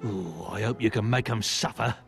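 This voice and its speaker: A man playing a nervous, vindictive shopkeeper with a halting, theatrical delivery in a video game. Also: lowish voice, creaky, faint music behind. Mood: gleefully vengeful. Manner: halting, theatrical, drawn-out words. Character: nervous, vindictive, beetle-phobic male shopkeeper. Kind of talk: video game cutscene dialogue.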